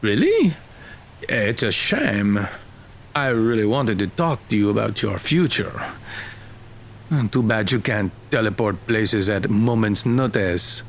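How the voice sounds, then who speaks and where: A man's voice: lowish voice, big pitch swings, takes it slowly, a little hiss or hum, exaggerated voice. A man playing a genial, probing middle-aged Air Force colonel in an audio drama, courteous and a little hesitant.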